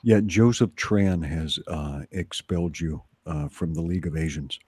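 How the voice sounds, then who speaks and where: Male co-host, low raspy voice, close and dry sound, teasing banter. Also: normal speed, dark tone, no echo. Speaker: livestream co-host. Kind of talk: casual bantering chat.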